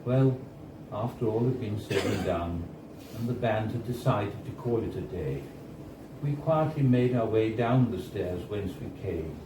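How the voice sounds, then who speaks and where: A man reads a first-person story aloud at a live event in a bar, in a measured storytelling manner with deliberate pauses. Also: on the low side, lively intonation. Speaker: reader of a short story. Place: indoors at a bar, live reading. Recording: roomy, distant, noisy live bar recording. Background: clear background noise and room ambience.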